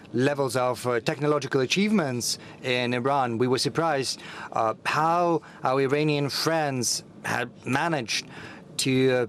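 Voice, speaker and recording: Man, simultaneous interpreter, mid-range voice; steady delivery, lots of fillers. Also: mid-range voice, slight background noise.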